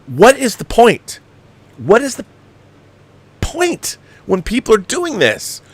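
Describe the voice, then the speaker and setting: A man's voice: extremely high pitch, wildly swooping pitch, slow, slightly rough, no echo. A man hosting a film commentary show, giving an opinionated, exasperated and emphatic review peppered with swearing. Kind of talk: opinionated movie review rant.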